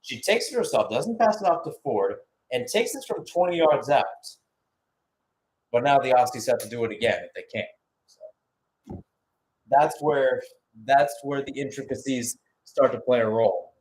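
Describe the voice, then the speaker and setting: A man's voice: medium pitch, animated, moderate pace, darkish tone, rough recording. A man giving live radio-style football commentary, switching between short clipped calls and conversational, analytical asides.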